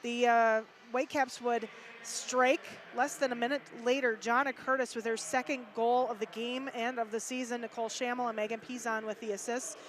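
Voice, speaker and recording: A woman's voice: fairly high pitch, level tone, normal speed, a little hiss or hum. A woman with a Minnesotan accent doing casual hockey commentary, chatty and reading stats with fillers. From Minnesota, USA. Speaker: hockey broadcaster. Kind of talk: casual live-stream commentary.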